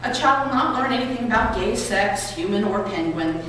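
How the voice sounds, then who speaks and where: A woman reading aloud to a gathering with clear, expressive storybook phrasing. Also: mid-range voice, normal speed. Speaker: reader at a public read-aloud event. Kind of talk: reading a children's book and an essay aloud. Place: college building lobby. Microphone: distant microphone. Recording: echoey hall, distant mic, noisy ambience.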